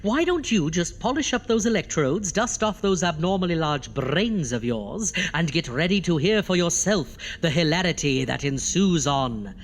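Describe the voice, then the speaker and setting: A man's voice: a little high, expressive, normal speed, hardly any pauses. Man playing a theatrical, swooping horror host. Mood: theatrical, sinister. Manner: pompous, dramatic, alliterative. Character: theatrical, exasperated male horror-show doctor host. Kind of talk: campy horror host audio comedy. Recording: music bed behind voice.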